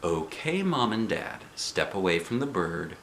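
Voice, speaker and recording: A man reading aloud, voicing a calm, gentle male nature guide who speaks softly. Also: sits low, unhurried, roomy sound, slight background noise, exaggerated voice. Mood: soothing. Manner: soft and soothing.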